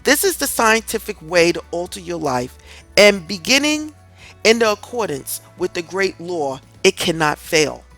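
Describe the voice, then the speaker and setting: A woman reads a scripted self-help audiobook in a deliberate, earnest, instructive way. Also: on the low side, very animated, fairly bright tone. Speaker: audiobook reader. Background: music in the background.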